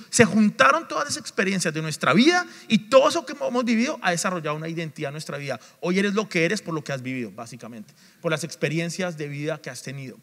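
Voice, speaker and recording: A man's voice: high voice, pushed and bright. A male pastor preaching a sermon, emphatic, repeating refrains and asking the congregation questions. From Latin America. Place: church sanctuary. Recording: long church reverb.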